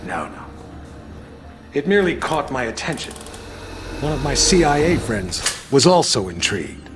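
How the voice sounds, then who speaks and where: An actor playing a polished, self-assured older retired intelligence director in a video game, speaking in measured, deliberate phrases. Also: male voice, mid-range voice, big pitch swings, natural voice. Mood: knowing, intent. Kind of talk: dramatic video game cutscene dialogue. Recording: music behind the voice.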